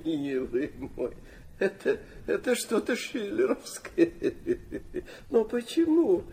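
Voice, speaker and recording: Swooping, doting, rambling old prince. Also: male voice, high voice, very animated, slow pace, gravelly, mellow, a little hiss or hum, heavily acted. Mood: fond, playful. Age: older. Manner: hesitant, rambling, stammering, drops in French phrases. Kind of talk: radio play acting.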